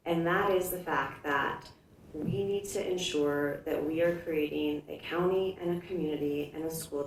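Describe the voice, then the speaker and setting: A woman's voice: sits low, gravelly. A woman from Hawaii giving a campaign speech at a forum as a candidate, in a conversational manner. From Hawaii, United States. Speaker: school board candidate. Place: indoor public forum room. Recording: echoey room from a distance, faint noise.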